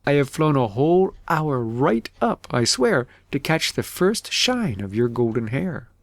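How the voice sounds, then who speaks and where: A man's voice: medium pitch, big pitch swings, measured pace, no echo, pitched above the performer's own voice. A man voicing a small, proud, worshipful lark reciting storybook verse. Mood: proud, adoring.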